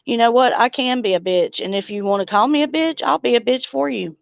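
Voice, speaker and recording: A woman's voice: on the high side, fairly quick, vocal fry, highs cut off. A woman hosting a casual livestream chat in a blunt, folksy, salty way. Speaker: livestream host.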